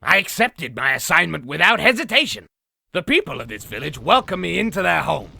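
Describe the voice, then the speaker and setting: A man playing a sinister, boastful old demon in a comic dub, telling tales in a raspy, gravelly, theatrical voice. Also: on the high side, big pitch swings, a little fast, pushed and bright, dry recording, heavily acted. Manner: theatrical, grandiose storytelling. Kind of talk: comic dub villain acting.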